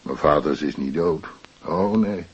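An actor playing a wry, down-to-earth middle-aged widower in a radio drama, plain-spoken and terse. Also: male voice, extremely low pitch, a little slow, husky, lo-fi sound, full character voice. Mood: wry, defiant. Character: wry, down-to-earth widower, night worker who paints.

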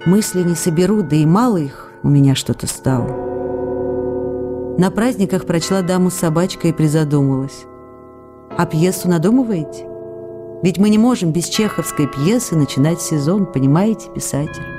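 A woman's voice: fairly low pitch, normal speed, music in the background, close to an everyday voice. A woman in a radio play voicing a young actress who writes playful, coaxing, reproachful letters to a writer. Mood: wistful. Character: young actress writing teasing, pleading love letters. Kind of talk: radio drama letter reading.

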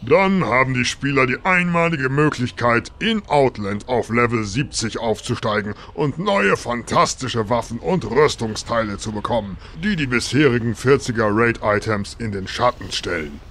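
A man's voice: medium pitch, lively intonation, normal speed, hardly any pauses, fairly bright tone. A man playing a pompous corporate demon lord. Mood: smug corporate. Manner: corporate, self-important spokesman tone. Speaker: demon lord being interviewed. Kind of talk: parody audio drama.

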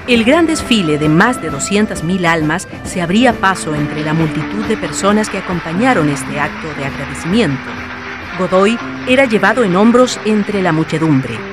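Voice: mid-range voice, animated, moderate pace, over music. A narrator delivering scripted historical storytelling for a radio drama.

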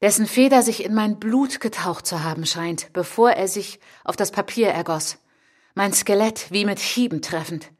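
Schauspielerin als stolze, verletzte junge Frau, leicht rau. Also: female voice, medium pitch, darkish tone, lightly acted. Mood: intense, lyrical. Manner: intense, deliberate, sometimes dropping to a whisper. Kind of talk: literary radio drama monologue.

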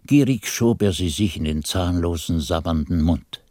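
An actor playing a monk who recounts his memories in measured, expressive radio drama narration. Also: low voice, no room sound, close to an everyday voice. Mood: measured, intrigued.

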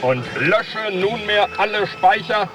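A man's voice: sits high, lively intonation, slow pace, distant mic, music in the background. An actor playing an exasperated spaceship commander dictating his log in a 1970s science fiction record play.

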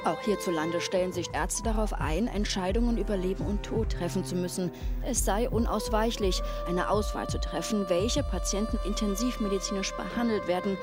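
A woman reads a scripted factual report in the style of a news narrator. Her voice is at a medium pitch, and loud music runs underneath. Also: normal speed.

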